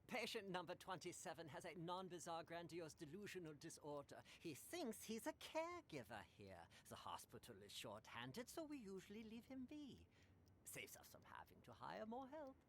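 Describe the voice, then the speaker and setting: A young female asylum administrator in an audio drama game, with a very lively, swooping delivery. She is breezy and evasive, over-explaining nervously and trailing off. Faint ambience sits behind her. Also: on the low side, moderate pace, slight background noise. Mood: matter-of-fact. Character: young, evasive, chatty woman administrator of a mental institution. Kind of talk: interactive radio drama acting.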